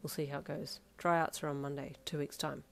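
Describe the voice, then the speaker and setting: A woman's voice: low voice, little pitch movement, fairly quick, gravelly. A woman voicing a nonchalant young boyfriend in an audio story, with dry, teasing delivery. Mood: amused. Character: laid-back, teasing young boyfriend, smirking and unfazed. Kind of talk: acted audio story dialogue.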